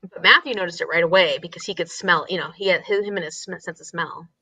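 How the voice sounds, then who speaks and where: Bright-voiced woman in casual book chat. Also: fairly low pitch, fairly even intonation, fast, slightly rough, low-quality recording. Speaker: book discussion co-host.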